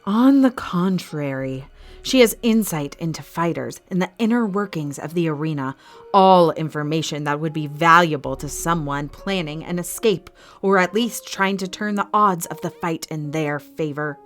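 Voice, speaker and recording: A low-pitched, commanding female arena master played by a woman in an audio drama. Her delivery is formal and clipped, with a cold, suspicious and ruthless edge. Soft music runs underneath. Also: normal speed, close to an everyday voice.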